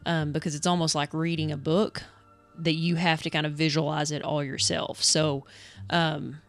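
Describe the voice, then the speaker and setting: A woman with a fairly low voice chats as a podcast co-host. Her delivery is casual, and music plays faintly behind her. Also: level tone, normal speed. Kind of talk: casual conversational chat.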